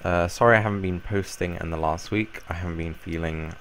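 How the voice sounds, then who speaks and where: Young man, very low voice, croaky from a cold, rambling, casual tutorial. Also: brisk, slight background noise. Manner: relaxed, rambling explanation with fillers. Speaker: Minecraft YouTuber.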